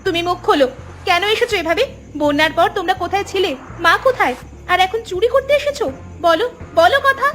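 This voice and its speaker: Very high-voiced, outspoken young village woman voiced by a woman. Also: expressive, fast, fairly bright tone. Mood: shocked, accusing. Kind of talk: cartoon dialogue.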